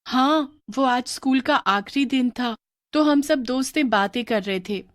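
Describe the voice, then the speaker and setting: A woman voicing a cheerful schoolgirl who loves street snacks, bubbly and excited. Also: sits high, a little slow, no echo, clean studio sound, voice pushed up.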